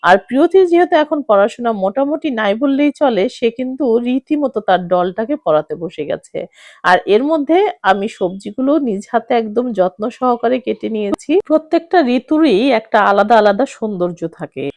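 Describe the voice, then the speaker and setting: A woman's voice: on the high side, lively intonation, normal speed, no room sound. A woman in her thirties with a Bangladeshi accent, narrating her daily family life in a chatty voice-over that speaks straight to viewers. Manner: chatty, addresses viewers directly. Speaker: family vlogger.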